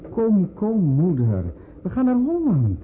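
High, animated, optimistic young son, tinny. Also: male voice, a little slow, full character voice. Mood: eager, reassuring. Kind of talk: radio drama acting. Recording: tinny radio recording, faint noise.

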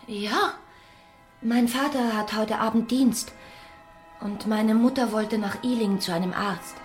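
Young daughter, radio drama, trusting, open-hearted, higher voice, music behind. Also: female voice, slow pace. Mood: innocent, curious. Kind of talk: German radio drama acting.

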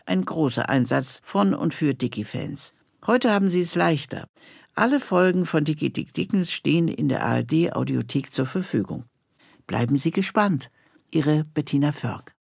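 Woman host reading a script, raspy and creaky, close dry sound. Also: low voice, expressive, normal speed, a little mellow, no room sound. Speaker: host introducing bonus material. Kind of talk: scripted narration.

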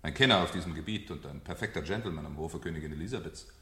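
An actor playing a mocking fire captain with a falsely hearty, theatrical, menacing manner in a 1970s German radio drama. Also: male voice, very deep voice, rapid-fire, pushed and bright. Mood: declaiming, taunting. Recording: echoey room, vintage radio play.

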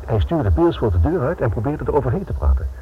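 A man's voice: mid-range voice, big pitch swings, fast, lightly acted. A man acting in a radio play as a flustered but stubborn novelist turned amateur detective, hesitant and stammering, on the defensive. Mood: earnest, flustered. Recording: tinny, band-limited old radio recording with noise.

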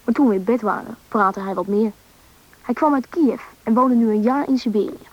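A fairly high voice of a woman as a young child telling their story in a radio play. The narration is plain, and the recording sounds dull. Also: normal speed, lightly acted. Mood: reflective storytelling. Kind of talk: scripted radio drama narration.

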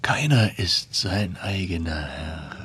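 Tiefer, grüblerischer kranker Schriftsteller. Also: male voice, low-pitched, expressive, a bit bright, full character voice. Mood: brooding, pleading. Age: middle-aged. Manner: halting, brooding, urging. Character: ailing, self-doubting writer and court official, restless and sardonic. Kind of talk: German radio play acting.

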